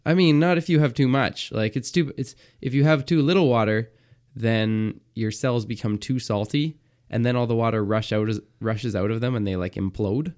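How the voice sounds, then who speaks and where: A man with a raspy voice co-hosting a comedy podcast, chatty and explaining things with lots of fillers. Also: medium pitch, fairly even intonation, normal speed, a little mellow. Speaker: podcast co-host. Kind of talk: casual comedic chat.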